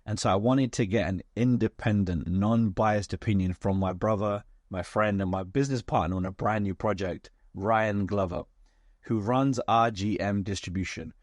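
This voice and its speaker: A man hosting an interview, his voice hoarse and raspy, asking structured, articulate questions. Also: lowish voice, moderate pace, dry recording. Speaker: podcast host. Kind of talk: interview, conversational.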